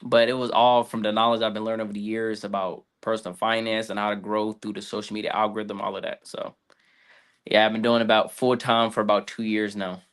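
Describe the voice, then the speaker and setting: Fast-talking young man, fairly low voice. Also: flat delivery, fairly bright tone, smooth. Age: 20s. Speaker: guest, social media content creator. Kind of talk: casual interview, telling own story.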